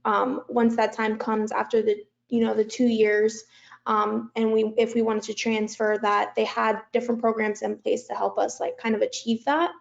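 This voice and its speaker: Panelist: woman, fairly high voice with vocal fry, casual, lo-fi sound. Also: flat delivery, normal speed. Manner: casual, frequent ums. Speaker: transfer student panelist. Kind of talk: panel discussion answer.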